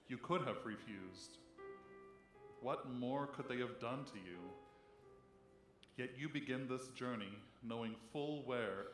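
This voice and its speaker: A man giving a solemn devotional reading in a measured, reflective manner. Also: medium pitch, a bit bright. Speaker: church reader. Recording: echoey room. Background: music.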